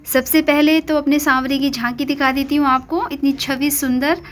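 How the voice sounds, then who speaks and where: A woman hosting her own devotional channel, talking earnestly to viewers from her home shrine, a little hoarse from a cold and recorded on a phone. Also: high-pitched, steady tone, moderate pace. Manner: earnest, questioning, speaks directly to viewers. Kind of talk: casual devotional talk to viewers.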